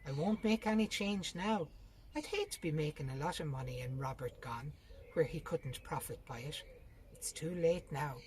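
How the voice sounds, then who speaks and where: A worn sister past her prime as a female narrator plays her. She sounds apologetic and gentle, yet stays firm. The storybook reading has faint background noise. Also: low-pitched, normal speed, lightly acted. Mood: firm, regretful.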